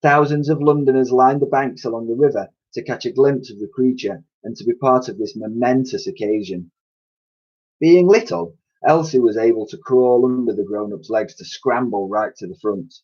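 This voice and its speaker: A man's voice: mid-range voice, moderate pace, darkish tone, muffled recording. A man reading a children's storybook aloud expressively, like a teacher addressing his pupils.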